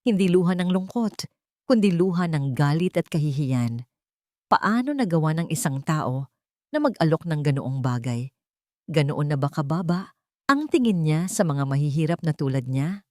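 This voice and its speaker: A woman's voice: low voice, lively intonation, normal speed, a little mellow, no echo, studio quality. A woman reading a scripted romance story as a dramatic narrator.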